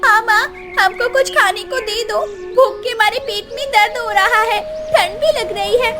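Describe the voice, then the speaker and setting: A woman's voice: very high-pitched, unhurried, loud music behind the voice, voice pushed up. A woman voicing a cold, hungry small child in a cartoon story, whiny and pleading. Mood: whining.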